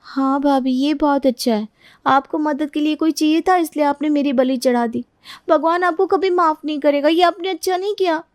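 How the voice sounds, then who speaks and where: A woman voicing a feisty, argumentative young daughter-in-law in an animated family story, lecturing in an exasperated manner. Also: sits high, normal speed, dry recording, clean studio sound, lightly acted. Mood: reproachful.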